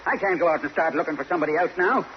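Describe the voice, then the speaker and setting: Man acting a fast-talking old fight manager. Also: a little high, natural voice. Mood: pleading. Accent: American. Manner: urgent, hard-nosed, cajoling. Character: scheming old fight manager, exasperated father figure. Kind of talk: old-time radio crime drama acting. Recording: dull, hissy old radio recording.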